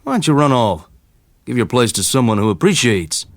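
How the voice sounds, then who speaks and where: A man voicing a gruff, mocking fellow who picks on youngsters. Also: mid-range voice, very animated, normal speed, no echo, clean studio sound, voice pushed up. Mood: gruff, scolding.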